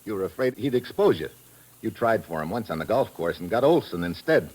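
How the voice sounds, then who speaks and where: An actor playing a wisecracking, confident American private investigator in a vintage radio drama, talking in slangy, brash lines. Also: male voice, fairly low pitch, very animated, a little fast, a little mellow, husky, low-quality recording. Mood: accusing. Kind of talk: 1940s-50s radio drama acting. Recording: vintage radio recording with music.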